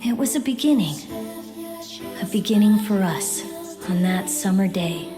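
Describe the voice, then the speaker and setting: A woman's voice: medium pitch, lively intonation, measured pace, over music, close to an everyday voice. A woman playing a proud, guarded exiled boy prince of about ten in an anime audio drama, haughty and introspective. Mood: brooding, reflective.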